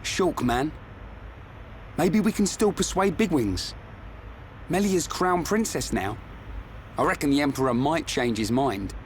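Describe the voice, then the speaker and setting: An actor playing a brash, loyal young fighter in a video game, talking in a casual, slangy, blunt way. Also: male voice, a little high, lively intonation, unhurried, long pauses, slight background noise. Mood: casual, hopeful.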